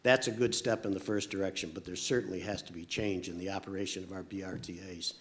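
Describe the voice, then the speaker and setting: A man's voice: low-pitched, steady tone, fast. A man making emphatic panel remarks, often repeating words as he starts a sentence.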